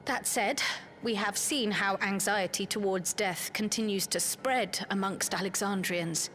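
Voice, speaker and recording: An actress playing a composed, analytical adviser in a video game, with a formal, thoughtful and deliberate manner. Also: female voice, mid-range voice, fairly bright tone, slight background noise, natural voice. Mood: thoughtful concern. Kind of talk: dramatic video game voice acting.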